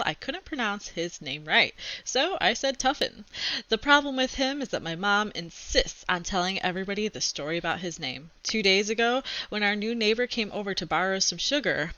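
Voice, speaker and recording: A woman's voice: mid-range voice, bright, forceful tone, no room sound, lo-fi sound. A woman voicing an exasperated, self-deprecating schoolboy who narrates a comic storybook with wry complaints. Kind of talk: expressive storybook read-aloud.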